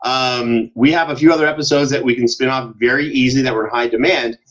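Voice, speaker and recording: A man chatting casually as a podcast guest, telling animated stories and acting out the exchanges he quotes. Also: medium pitch, fast talker, highs cut off. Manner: banters, acts out quoted exchanges. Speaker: podcast guest, show co-host and audio drama producer.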